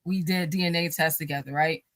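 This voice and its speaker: A woman's voice: sits low, steady tone, fairly quick, fairly bright tone, no echo, studio quality. A married woman, a guest on a talk show, speaking casually in a rambling way with many fillers and restarts, and often laughing as she talks. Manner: laughs often while talking.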